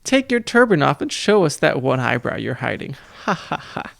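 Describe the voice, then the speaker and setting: A man's voice: a little high, big pitch swings, moderate pace, creaky, a bit bright, dry recording, studio quality, exaggerated voice. Man voicing a determined, earnest girl. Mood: forced playful. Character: worried, determined girl, older orphan sibling, feigning playfulness.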